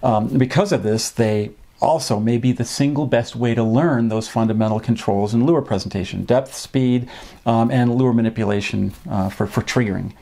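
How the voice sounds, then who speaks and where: Instructor, male, 50s, medium pitch. Informal explainer, measured and slightly hesitant, speaking in a small indoor room. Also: creaky, warm tone. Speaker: fishing instructor and presenter. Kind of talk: informal instructional talk to camera. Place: small home office, indoors.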